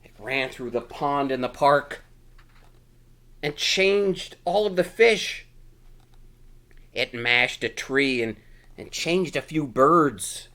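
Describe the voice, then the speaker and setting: A man's voice: mid-range voice, expressive, slow pace, pauses often, bright, forceful tone. A man reading a children's picture book aloud in a playful, exclamatory manner with dramatic emphasis. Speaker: storytime reader.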